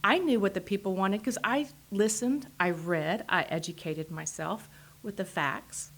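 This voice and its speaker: A woman's voice: medium pitch, unhurried, fairly bright tone, slight background noise. A woman from Texas with a Texan accent, answering interview questions as a political candidate in an earnest way. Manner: earnest, repeats short affirmations.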